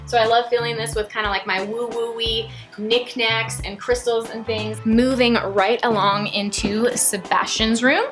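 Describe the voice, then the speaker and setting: A woman's voice: a little high, fairly even intonation, measured pace, hardly any pauses, music in the background. Chatty young woman in an echoey home. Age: young adult. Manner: chatty and upbeat, with frequent fillers. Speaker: home vlogger. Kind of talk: casual house tour vlog. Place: small rooms of a house, indoors. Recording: echoey small rooms.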